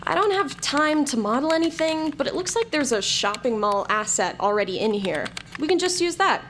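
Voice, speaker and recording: A bossy, sardonic older female programmer in an audio drama. The voice is fairly high, blunt and impatient, with faint noise and typing behind it. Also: big pitch swings, normal speed, fairly bright tone. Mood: brisk, businesslike. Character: bitter, bossy elderly woman programmer, grimly sardonic. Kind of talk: scripted audio drama acting.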